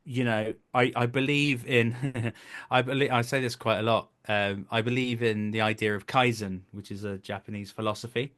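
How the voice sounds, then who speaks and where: A man's voice: medium pitch, fairly even intonation, normal speed, fairly bright tone. Earnest man explaining in conversation. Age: 30s. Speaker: voice actor, director and coach. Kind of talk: conversational interview.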